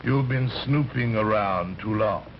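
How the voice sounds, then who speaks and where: Suave, menacing radio villain, hissy recording. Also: male voice, fairly low pitch, muffled recording. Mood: condescending, threatening. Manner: unhurried, hesitant "uh"s, smoothly condescending. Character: suave, menacing fat male villain with supernatural powers. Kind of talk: old-time radio thriller acting.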